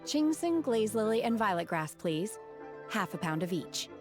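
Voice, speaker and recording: An actress playing an aloof, blunt young woman from the mountains in a video game, speaking calmly and formally. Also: medium pitch, normal speed, music in the background, close to an everyday voice. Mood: polite, flat. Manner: calm, formal, blunt, sighing. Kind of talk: anime-style video game voice acting.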